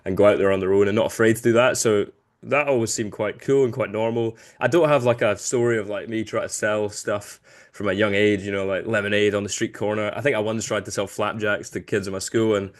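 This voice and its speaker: A man's voice: on the low side, steady tone, a little fast. A man in his late twenties from Glasgow with a light Glaswegian accent, talking casually as a guest in an interview in a chatty, self-deprecating way. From Glasgow, Scotland. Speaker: podcast guest, business founder.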